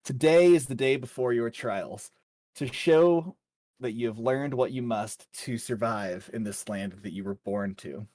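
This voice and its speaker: A man with a medium-pitched voice acts as dungeon master for a tabletop fantasy game. He narrates the world and talks informally with his players. The sound is dry, with hardly any room in it. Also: unhurried, a little mellow, no echo.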